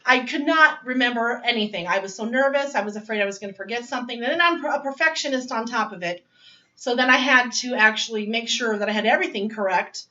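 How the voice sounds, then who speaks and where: A woman's voice: fairly high pitch, pushed and bright, recorded from a distance, dull audio. A woman in her forties telling her story of going back to school in a casual, chatty way with frequent laughs. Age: 40s. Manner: chatty, laughs often, restarts phrases. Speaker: student giving a speech. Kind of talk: personal narrative speech. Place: home dining area.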